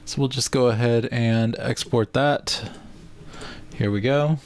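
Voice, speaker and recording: A man's voice: mid-range voice, slow. A man talking casually as a vlogger, informally thinking aloud while he works. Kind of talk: casual vlog talk.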